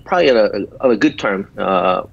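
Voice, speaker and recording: A man in his fifties from South Korea with a small Korean accent, speaking in halting sentences full of filler pauses as a guest doctor in a remote interview. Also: on the low side, animated, vocal fry, dry recording. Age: 50s. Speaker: guest physician. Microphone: video call. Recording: dull lo-fi video call audio.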